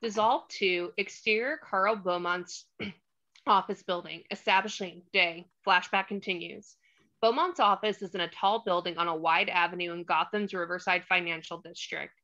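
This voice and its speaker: Bright-voiced woman narrating a script. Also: on the low side, steady tone, normal speed, muffled recording. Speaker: narrator reading stage directions.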